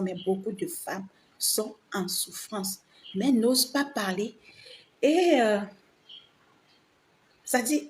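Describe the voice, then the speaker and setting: A woman's voice: medium pitch, darkish tone. Woman speaking over background noise. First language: not French. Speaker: vlogger. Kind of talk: informal opinion monologue addressed to women. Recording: noticeable background ambient noise.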